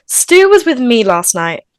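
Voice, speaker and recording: A woman acts out a feisty high-school girl from a slasher story, blunt and sassy. Her voice is high and swings around animatedly, with a dry, close sound. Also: very animated, slow pace, no echo. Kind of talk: live table read of a slasher film script.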